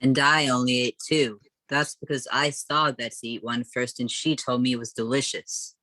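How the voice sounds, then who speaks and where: A woman's voice: extremely low pitch, fairly even intonation, normal speed, sharp tone, no room sound, poor audio. A woman playing a bossy, scheming ten-year-old boy in an amateur radio play. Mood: defensive.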